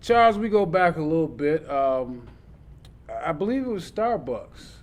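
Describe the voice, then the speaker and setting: A man's voice: on the high side, lively intonation, a little slow, a crowd in the background. Casual male interview host. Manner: loose, conversational questioner.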